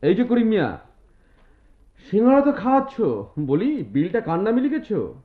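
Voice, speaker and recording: High-pitched, bossy young man. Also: huge pitch swings, normal speed. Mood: teasing scolding. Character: bossy, teasing young male friend, quick to scold. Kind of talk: Bengali radio drama acting. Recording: thin phone-like audio over music.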